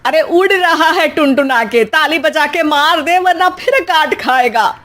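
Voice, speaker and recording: महिला की आवाज़ में झुंझलाई, तंज कसती कार्टून सास. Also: female voice, high voice, a little slow, fairly bright tone. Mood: scolding. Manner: scolding, wry, colloquial. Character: exasperated, sarcastic middle-aged mother-in-law. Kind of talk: cartoon comedy acting.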